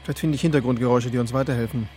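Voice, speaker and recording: An actor playing a calm, practical communications expert giving step-by-step instructions. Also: male voice, medium pitch, rapid-fire, slight background noise, close to an everyday voice. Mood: instructive.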